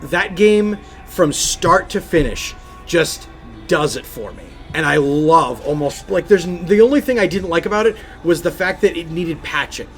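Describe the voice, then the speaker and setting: Emphatic, higher-pitched man on a gaming podcast. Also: expressive, normal speed, soft background music. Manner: emphatic, effusive, repeats phrases for stress. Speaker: podcast co-host. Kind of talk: casual video game podcast chat.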